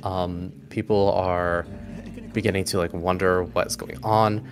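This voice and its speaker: A man's voice: deep voice, flat delivery, unhurried. A man improvising narration as game master of a tabletop roleplaying game, with a hesitant, amused delivery.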